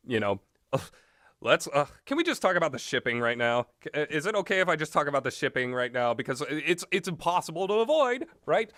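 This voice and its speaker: Guy rambling his blunt takes, really lively pitch, close dry sound, sweary. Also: no room sound. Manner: opinionated, blunt, casually sweary. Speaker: solo commentator on visual novels. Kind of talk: casual rambling opinion commentary.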